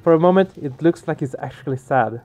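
A man's voice: a little high, fairly quick, a little mellow. A man hosting a let's play, reading game narration aloud and adding casual commentary. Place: small home room, indoors. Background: game music.

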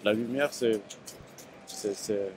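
Middle-aged man musing haltingly on faith. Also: on the low side, a little mellow, faint music behind. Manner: reflective, halting with repeated words. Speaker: passerby interviewee. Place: city street at night, outdoors.

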